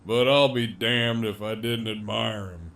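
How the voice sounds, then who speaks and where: A man's voice: on the low side, fairly even intonation, unhurried, vocal fry, fairly bright tone. A man playing a cocky, menacing, wryly amused imprisoned gang leader in an audio drama, with a swaggering, foul-mouthed drawl. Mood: wry, reflective.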